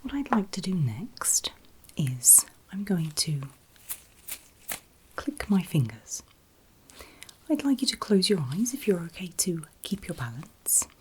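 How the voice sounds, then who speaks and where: A woman whispering very gently and reassuringly in a breathy, unvoiced ASMR style, as a medical examiner in a roleplay, close to the microphone. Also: lowish voice, slight background noise. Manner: gentle, reassuring, checking in often. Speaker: ASMR performer playing an ear examiner.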